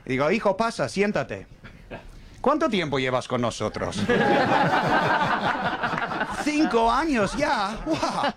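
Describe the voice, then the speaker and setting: A man's voice: sits high, wildly swooping pitch, takes it slowly, pauses often, very noisy. Wry foreign man doing stand-up. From English-speaking country. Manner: wry, self-mocking storytelling with punchlines. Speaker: stand-up comedian. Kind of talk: stand-up comedy set. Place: comedy club stage with a laughing audience.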